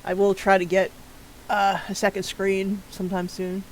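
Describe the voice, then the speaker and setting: A man's voice: high voice, monotone, moderate pace, a little hiss or hum, poor audio. A man streaming a detective game, chatting casually and recapping the story for his viewers with small stumbles. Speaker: gaming streamer. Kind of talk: casual let's-play commentary.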